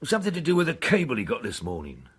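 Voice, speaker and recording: An actor playing an earthy, leering old sea captain in a radio drama, talking in a colloquial, teasing, insinuating way. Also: male voice, on the high side, huge pitch swings, fast, slightly rough, full character voice. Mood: gossipy.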